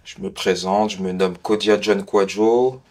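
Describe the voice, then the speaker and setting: Young male interviewee from suburban Lyon, monotone and unhurried, hesitant self-introduction. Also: low voice. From France (Lyon suburbs). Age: 20s. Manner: hesitant, halting delivery. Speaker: student and community volunteer.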